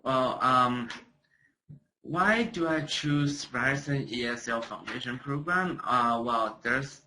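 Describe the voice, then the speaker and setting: A man's voice: medium pitch, takes it slowly, sharp tone, rough recording. A young man, a non-native English speaker, talking casually about his studies with many hesitations and jokes. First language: not English. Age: young adult. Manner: hesitant, many fillers, joking. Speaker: student speaker. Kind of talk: casual webcast talk.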